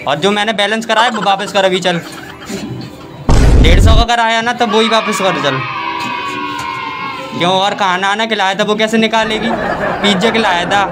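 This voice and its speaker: A young man doing a prank phone call in a whiny, argumentative, childlike way inside a lift. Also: high-pitched. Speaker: prankster making fake phone calls. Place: inside a crowded metal passenger lift.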